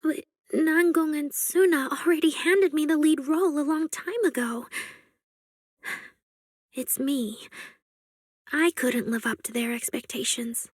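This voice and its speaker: A woman's voice: high voice, measured pace, pauses often, no echo, clean studio sound. A woman playing a forlorn, self-doubting young android idol in a video game, hesitant and fragile. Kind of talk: dramatic video game voice acting.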